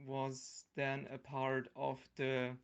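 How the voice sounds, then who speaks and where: A man's voice: mid-range voice, completely flat delivery, takes it slowly, smooth. A young man with a German accent chats casually in English as a second language, hesitating and searching for words. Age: young adult. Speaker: podcast guest, amateur theatre group member. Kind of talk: casual interview chat.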